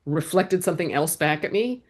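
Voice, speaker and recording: A woman's voice: low voice, animated, normal speed. A woman answering interview questions as a guest, in a reflective way with frequent restarts. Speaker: guest, actor and writer.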